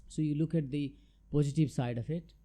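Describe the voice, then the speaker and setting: A man's voice: on the high side, fairly even intonation, brisk, dark tone. A middle-aged man from Nepal, a tax adviser answering interview questions in a thoughtful, hesitant way with many fillers. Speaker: guest, chartered tax adviser.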